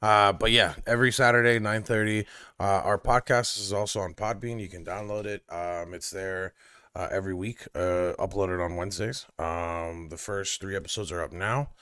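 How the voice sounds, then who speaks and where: A man's voice: sits low, steady tone, normal speed, sharp tone, clear, resonant tone, no echo, clean studio sound. A man in his thirties co-hosting a casual live-stream podcast, joking around and wrapping up the show with lots of fillers. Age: 30s. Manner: joking, loose, filler-heavy sign-off. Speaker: podcast co-host.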